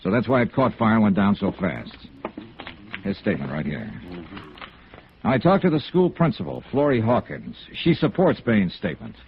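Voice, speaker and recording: An American actor playing a tough, blunt insurance investigator in a radio noir drama, clipped and direct. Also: male voice, lowish voice, big pitch swings, normal speed, a little hiss or hum. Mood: brisk, commanding. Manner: clipped, hard-boiled, direct. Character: tough, blunt male freelance insurance investigator, narrating his case. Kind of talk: 1950s radio noir acting, narration and dialogue. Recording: muffled old radio broadcast.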